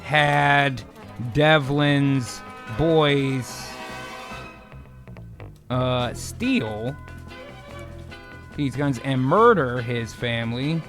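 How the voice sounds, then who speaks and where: A man co-hosting an improvised comedy show, mixing casual, halting banter with story narration. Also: mid-range voice, music in the background. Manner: profane, informal, halting asides.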